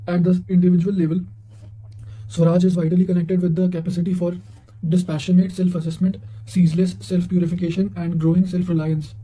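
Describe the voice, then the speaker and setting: A man with a high-pitched voice reads quotations and notes aloud. His tone is very dark and mellow, and his intonation stays quite even. The delivery is formal and a little halting, like someone working through a written text. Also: low-quality recording. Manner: formal, halting reading with occasional stumbles. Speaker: narrator reading study notes.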